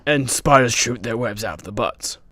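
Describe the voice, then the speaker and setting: A woman's voice: extremely low pitch, normal speed, a bit bright, no room sound, studio quality. A woman playing a blunt, sarcastic superhero who mocks others in a comedy radio play. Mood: mocking. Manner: sarcastic, mocking. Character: snarky, blunt female superhero judging tryouts.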